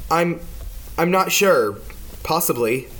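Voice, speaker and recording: A man's voice: on the high side, animated, takes it slowly, fairly bright tone, poor audio. A man playing an anxious, defensive kitchen worker suspected of murder in an amateur audio drama. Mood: nervous. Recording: noticeable background noise.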